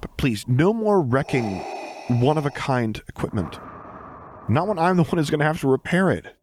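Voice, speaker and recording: A man's voice: on the high side, big pitch swings, normal speed, a little mellow, a little hiss or hum, pitched above the performer's own voice. A man voicing a sarcastic, exasperated young man with a short temper in an audiobook, blunt and emphatic. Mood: grudgingly fond. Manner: blunt, sweary, emphatic jabbing delivery. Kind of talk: audiobook character voice.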